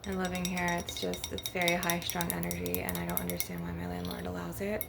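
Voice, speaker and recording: A woman with a rather low voice that often crackles into fry. She rambles casually, blunt and aggrieved, swearing freely. Clear background noise and clinking glass taps run behind her. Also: a little fast. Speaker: ASMR video creator. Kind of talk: casual rambling monologue over ASMR tapping. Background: glass and crystal tapping.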